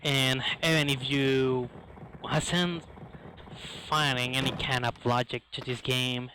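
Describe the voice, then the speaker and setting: A man's voice: mid-range voice, fairly even intonation, slow, a little hiss or hum, rough recording. A man giving casual live speedrun commentary in non-native English phrasing, with playful asides. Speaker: speedrunner commentating own run. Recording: loud game music behind. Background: loud video game music.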